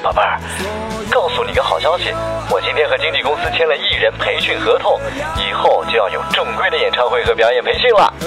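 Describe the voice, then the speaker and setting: An actor in a radio drama playing a young aspiring singer, an affectionate but tired boyfriend who speaks coaxingly. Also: male voice, on the high side, very animated, fast. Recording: music behind the voice. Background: background music.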